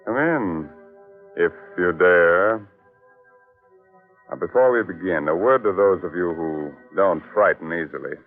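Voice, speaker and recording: Deep, sinister ghoulish horror host. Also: male voice, faint music behind. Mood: sinister welcome. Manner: slow, ominous, mock-welcoming drawn-out delivery. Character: sinister, ghoulish male horror host, teasing teller of strange tales. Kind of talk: 1940s radio horror narration. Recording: tinny old radio broadcast recording.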